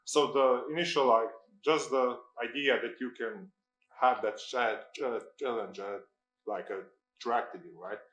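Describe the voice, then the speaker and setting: A man hosts a live industry event and puts questions to his guest. His voice is of middling pitch, and he stumbles and repeats words often, filling gaps with "like". The room around him rings with echo. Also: fairly even intonation, unhurried, a bit bright, dull audio. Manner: stammering, repeats words, many "like" fillers. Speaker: event host, interviewer. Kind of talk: live panel interview.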